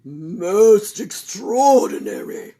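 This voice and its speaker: A woman voicing a fussy, booming male doctor in a read-aloud storybook, loud and emphatic as he rattles off symptoms. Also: animated, very slow.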